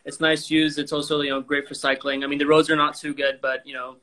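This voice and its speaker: A man's voice: a little high, level tone, fast, sharp tone. A young man who grew up in Indonesia, speaking frankly over a video call as an interview guest, rambling with many fillers and restarts. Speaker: guest athlete.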